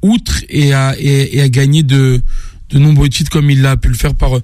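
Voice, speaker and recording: A man giving calm, measured sports analysis on radio, pausing often. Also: mid-range voice, dry recording, studio quality. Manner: calm, halting with fillers.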